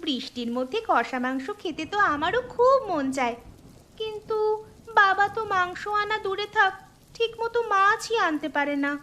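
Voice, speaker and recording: High-pitched eager village girl voiced by a woman. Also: expressive, normal speed, pushed and bright, heavily acted. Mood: wistful, coaxing. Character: young village girl, eager older sister. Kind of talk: cartoon story dialogue.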